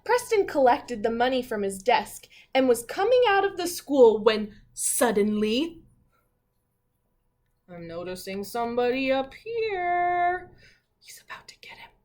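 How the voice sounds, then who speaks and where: Slow, high-voiced woman reading to children. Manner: expressive, dramatic pauses, thinking aloud to young listeners. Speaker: teacher reading a picture book aloud. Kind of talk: children's read-aloud with commentary.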